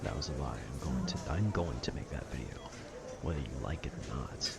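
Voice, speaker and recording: Very deep-voiced young man rambling calmly. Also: fairly quick, vocal fry, loud music behind the voice. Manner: calm, informal, wry. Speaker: video creator. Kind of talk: casual rambling monologue about video games.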